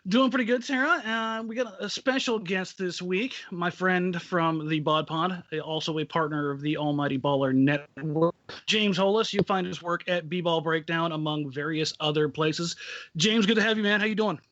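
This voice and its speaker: High-voiced teasing man on a lo-fi line. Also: normal speed, a bit bright, no echo. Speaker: podcast co-host. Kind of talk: casual podcast chat.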